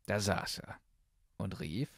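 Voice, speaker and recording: Low-voiced man narrating a fairy tale. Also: takes it slowly, creaky, breathy edge, no room sound, studio quality. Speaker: audiobook narrator.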